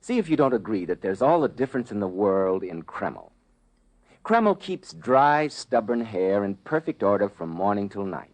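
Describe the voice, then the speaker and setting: A man announces in a low voice with animated swings of pitch. He sounds like a polished, chummy 1940s radio pitchman on a vintage recording. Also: normal speed.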